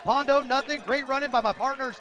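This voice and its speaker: High-pitched male football play-by-play announcer. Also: fairly even intonation, a little fast. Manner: descriptive, rising to shouts on big plays. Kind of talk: live football commentary. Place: outdoor high school football game. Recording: dull, lo-fi radio broadcast.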